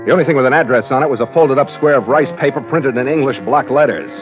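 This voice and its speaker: A man's voice: medium pitch, lively intonation, rapid-fire, close to an everyday voice. Hard-boiled sea captain played by a man, sardonic and clipped, vintage radio acting, very thin sound with music beneath. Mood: wry narration. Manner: wry, clipped. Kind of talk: 1940s radio acting, first-person narration. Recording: tinny old radio, music behind.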